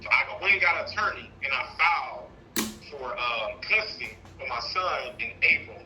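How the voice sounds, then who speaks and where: A man's voice: medium pitch, expressive, normal speed, low-quality recording. A man with an American accent calling in, telling his personal story in a casual, rambling way. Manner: rambling, frequent "you know". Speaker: caller, father seeking custody.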